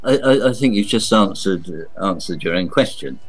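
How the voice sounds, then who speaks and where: A man's voice: lowish voice, expressive, normal speed, no echo, soft background music. A man from England, a guest author, answering in a hesitant, thoughtful way with repeated words. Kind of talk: interview answers.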